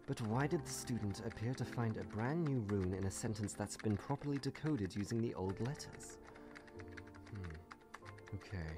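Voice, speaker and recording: Guy doing a daring lady adventurer in a comic dub, lowish voice, dry quips, music behind. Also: animated, normal speed, close to an everyday voice. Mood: musing. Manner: wry, quipping, talks to self. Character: witty, daring female adventurer and archaeologist, unflappable. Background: clear music.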